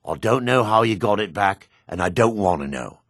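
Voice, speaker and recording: A man voicing a gruff, wary male dark elf townsman in a fantasy video game, with a low, husky voice. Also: animated, normal speed, no echo, studio quality. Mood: excited.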